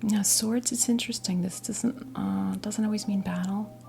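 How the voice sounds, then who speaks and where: An American woman in her fifties whispers in a soothing, halting, conversational way as she role-plays a tarot reading for ASMR. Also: medium pitch, steady tone. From New Jersey, United States. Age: 50s. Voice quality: breathy whisper. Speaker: ASMR creator role-playing a witch tarot reader. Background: soft background music.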